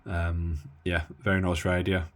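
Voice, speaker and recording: A man from the Midlands demoing a radio at his bench in a casual, halting way with lots of fillers. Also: low-pitched, flat delivery. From Midlands of England. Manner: halting, many fillers and restarts. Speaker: radio hobby vlogger. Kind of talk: casual hands-on demo.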